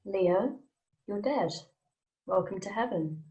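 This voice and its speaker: A woman's voice: mid-range voice, slow pace, mellow, dull audio, natural voice. Matter-of-fact woman welcoming newcomers to heaven, read by an actress. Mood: calm, matter-of-fact. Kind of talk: script table read.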